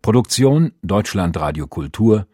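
A man reading scripted radio drama credits as an announcer, in a measured, formal delivery. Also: fairly low pitch, lively intonation, darkish tone. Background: clear music.

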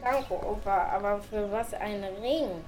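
A woman's voice: mid-range voice, a little slow, darkish tone, close to an everyday voice. A female performer playing a curious young birthday boy in an amateur school radio play.

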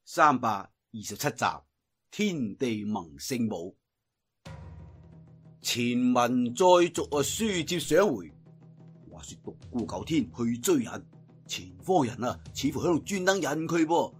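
A male storyteller with a Cantonese accent delivers dramatic martial-arts narration in a mid-pitched voice. His delivery is theatrical and very animated, leaning on the ends of sentences, with music behind him. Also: slow pace, slightly rough. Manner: theatrical, emphatic sentence endings.

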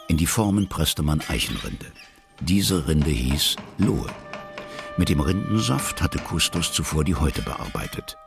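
Storyteller: extremely low, gravelly, measured explanatory delivery for a historical children's radio play. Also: male voice, big pitch swings, over music, exaggerated voice. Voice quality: very deep, gravelly. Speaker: narrator.

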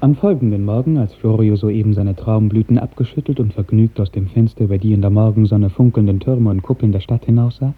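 A man narrating a literary 1950s radio drama in a measured, poetic storytelling manner. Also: lowish voice. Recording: muffled narrow 1950s radio recording.

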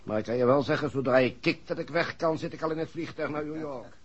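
An actor playing an affable, gossipy and slightly evasive assistant in a 1960s Dutch radio play, speaking hesitantly with a Netherlands accent. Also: male voice, fairly high pitch, quick pace, breathy edge. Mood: confiding. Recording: dull, muffled 1960s radio recording.

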